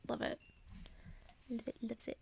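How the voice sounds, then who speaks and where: A woman ASMR host whispering softly and close to the microphone in a breathy voice, as if reading bedtime stories aloud. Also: unhurried, slight background noise. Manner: whispering, soft-spoken.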